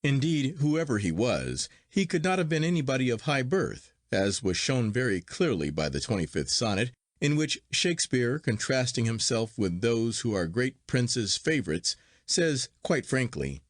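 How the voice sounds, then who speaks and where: Guy narrating an old literary story, formal and measured, pitch moves around a lot, very dry recording. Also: big pitch swings, no echo. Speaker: audiobook narrator.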